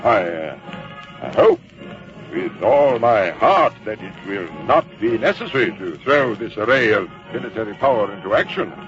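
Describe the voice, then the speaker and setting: Pompous, swooping general. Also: male voice, lowish voice, very animated, moderate pace, a bit bright. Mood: stern, imperious. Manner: pompous, drawling rhetorical hesitations and repetitions. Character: haughty, confident male British general commanding redcoats. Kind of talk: old-time radio drama acting. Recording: muffled vintage radio recording, music behind.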